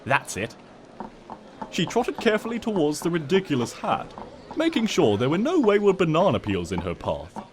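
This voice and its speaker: A man's voice: sits high, wildly swooping pitch, a little fast, slight background noise. A man narrating a comic story in a theatrical, smug and wry manner.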